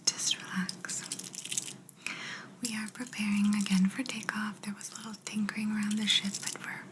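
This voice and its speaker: A woman voicing a calm, politely superior alien examiner in an ASMR sci-fi roleplay, in a soft breathy whisper with a gentle, musing manner. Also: medium pitch, noisy background, natural voice. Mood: soothing. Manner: slow, gentle, hesitant musing. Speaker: ASMR performer.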